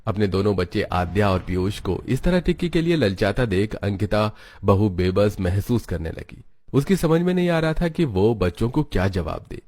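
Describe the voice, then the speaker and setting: A man with a fairly low voice tells a children's story. He reads it as a scripted narration for a cartoon. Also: expressive, moderate pace, a little mellow, no room sound.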